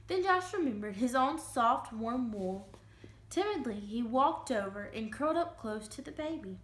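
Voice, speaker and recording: A woman with a high voice narrates a children's story as a dramatic reading. Her delivery is expressive, and the room adds noticeable echo around her words. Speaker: reader giving a dramatic reading. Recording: roomy, echoing room sound.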